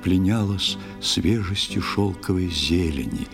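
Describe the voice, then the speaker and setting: Deep-voiced male storyteller over music. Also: unhurried, vocal fry. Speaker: narrator of an audio play. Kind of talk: poetic literary narration for a children's musical audio play.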